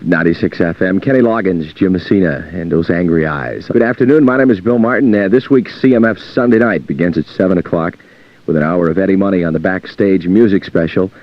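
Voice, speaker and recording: A man's voice: low voice, brisk, no room sound. A man presenting afternoon music radio as a DJ, announcing songs and shows. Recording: dull lo-fi radio aircheck tape.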